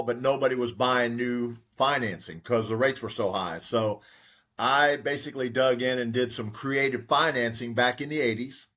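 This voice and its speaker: A man in his sixties, a real estate broker, telling his life story as an interview guest in a steady, reflective way with frequent fillers. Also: mid-range voice. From United States.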